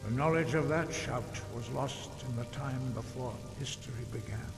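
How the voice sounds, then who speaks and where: Guy doing a wise stern old monk dude, fantasy game, formal n measured, lots of echo, music under it. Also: medium pitch, lightly acted. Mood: solemn warning. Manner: solemn, measured, formal, admonishing. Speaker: game character, mentor. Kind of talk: fantasy video game dialogue. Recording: echoey stone hall, music behind.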